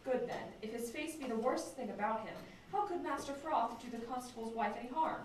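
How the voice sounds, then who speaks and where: A woman's voice: a little high, brisk. Woman, student, reading stage dialogue, far from mic, faint background noise, classroom. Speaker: student reading a part aloud. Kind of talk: reading Shakespearean dialogue aloud. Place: classroom, away from the microphone. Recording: very echoey room, distant mic, faint noise.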